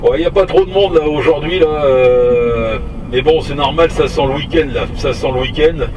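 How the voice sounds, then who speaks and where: A man's voice: fairly high pitch, moderate pace, highs cut off. A man chatting casually as a CB radio operator, in a hesitant, rambling way. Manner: hesitant, rambling, tag words at phrase ends. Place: inside a moving vehicle. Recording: distant mic, steady vehicle noise.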